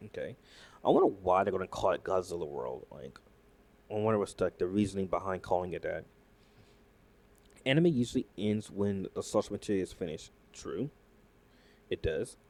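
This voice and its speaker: A man reacting to news in casual commentary, excitable and rambling, full of fillers and restarts. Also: sits low, normal speed, creaky, pauses often. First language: not Japanese. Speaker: YouTube commentator.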